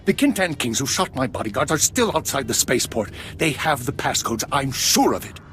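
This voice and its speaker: An actor playing an anxious, pleading diplomatic minister in a video game, agitated and dramatic. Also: male voice, mid-range voice, sing-song, a little fast, over music, natural voice. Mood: anxious urgency. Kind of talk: video game character dialogue.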